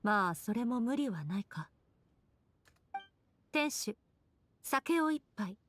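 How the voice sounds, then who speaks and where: A cold aloof swordmaster. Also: female voice, on the high side, very animated, a bit bright, slight background noise. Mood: cool. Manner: measured, cool and detached. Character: cold, aloof female swordmaster, grave and calm. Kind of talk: game voice acting. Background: game music.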